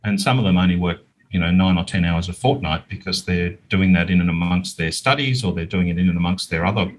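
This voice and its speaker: Aussie guy being interviewed, pretty low husky voice, explains stuff conversationally. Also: low-quality recording. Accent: Australian. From Australia. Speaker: interview guest, business owner.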